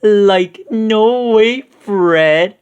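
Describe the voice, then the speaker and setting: A man's voice: high-pitched, very slow, clear, resonant tone, dull audio, pitched above the performer's own voice. A man voicing a goofy, cowardly teenage slacker from a storybook, exclamatory and slangy. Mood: scared refusal. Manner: exclamatory, slangy, stammering when scared.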